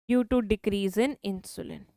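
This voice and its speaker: A woman with a high-pitched voice and an Indian English accent, teaching a biology lecture and answering her own questions. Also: moderate pace, vocal fry, dark tone, no room sound, clean studio sound. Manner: asks questions then answers them herself. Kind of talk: online exam-prep lecture.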